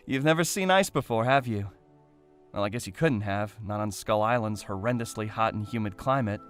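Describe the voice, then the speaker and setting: A man playing a gentle, apologetic character in a fan audio drama, talking softly and reassuringly as if to a big animal friend. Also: lowish voice, moderate pace, soft background music, close to an everyday voice. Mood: amused.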